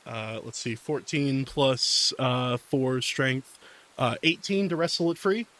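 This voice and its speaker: A man's voice: medium pitch, expressive, slow, fairly bright tone. A man playing a tabletop game, casually narrating his character's moves and working through dice checks aloud.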